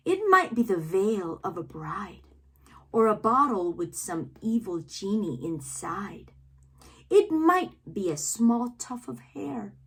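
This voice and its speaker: A woman reading poems aloud for children in a theatrical way, drawing out words and pausing between phrases. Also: mid-range voice, fairly bright tone, husky. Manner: theatrical, drawn-out words with pauses. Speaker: read-aloud host, children's reader. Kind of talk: expressive poetry read-aloud for children.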